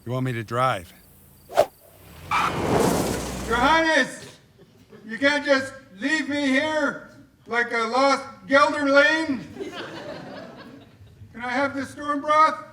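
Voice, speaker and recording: A man playing a geeky, excitable fantasy-fan cop in a live cartoon table read, fussy, pedantic and mock-formal. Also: very high-pitched, takes it slowly, pauses often, noisy background, full character voice. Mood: indignant. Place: theatre stage before an audience.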